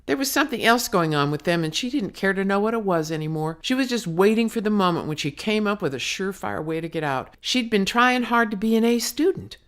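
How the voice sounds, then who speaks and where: A woman's voice: mid-range voice, lively intonation, fairly quick, hardly any pauses. A woman narrating her own novel as an audiobook, in a dramatic storytelling manner with slangy, clipped phrasing. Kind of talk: scripted narration, audiobook reading.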